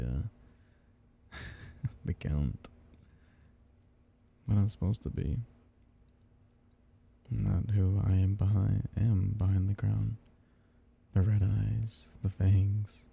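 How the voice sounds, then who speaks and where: A man playing a tender, possessive vampire count in an intimate ASMR roleplay, speaking in soft, teasing murmurs with a husky, gravelly voice. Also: lowish voice, exaggerated voice. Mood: wistful.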